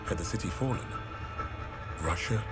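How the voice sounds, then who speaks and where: A man playing a grave soldier who narrates a war story, solemn and deliberate, in a game cutscene. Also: sits low, close to an everyday voice. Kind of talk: scripted dramatic narration. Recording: loud music behind the voice.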